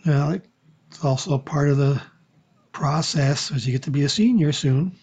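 A man's voice: fairly high pitch, a little slow, a little mellow, husky. An older man chatting casually, telling meandering stories and correcting himself as he goes. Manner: meandering storytelling, self-correcting. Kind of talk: casual chat. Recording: dull lo-fi audio.

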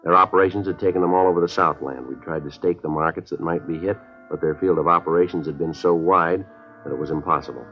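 A man's voice: deep voice, quick pace, close to an everyday voice. An actor playing a terse, businesslike police detective with an American accent, narrating his case in clipped, matter-of-fact 1950s radio drama style. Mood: flat, businesslike. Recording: muffled old radio recording, faint music.

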